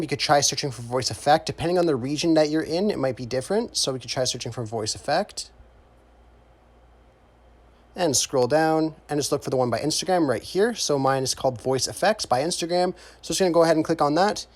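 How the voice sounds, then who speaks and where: A man narrating a casual how-to tutorial in an informal, step-by-step way. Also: on the high side. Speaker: tutorial host.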